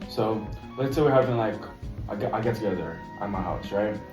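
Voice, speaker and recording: A man's voice: lowish voice, a little fast, far from the mic. A young man from Jamaica, a college freshman, chatting casually in an interview and calling the interviewer bro. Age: young adult. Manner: relaxed, says bro a lot. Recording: roomy, off-mic, music behind.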